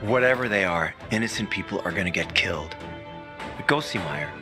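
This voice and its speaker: Soldier guard,, frank and grumbling, music behind. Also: male voice, low-pitched, lively intonation, a little fast. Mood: frustrated. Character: male rank-and-file soldier, frustrated and loyal. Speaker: checkpoint guard. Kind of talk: video game cutscene acting.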